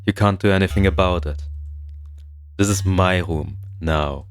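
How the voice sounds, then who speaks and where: A calm, cold male intruder who has taken over someone's room, as a man puts him on. He speaks slowly and flatly and never sounds bothered. His very deep voice sits over faint music. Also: smooth. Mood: coldly calm. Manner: flat, slow and unbothered.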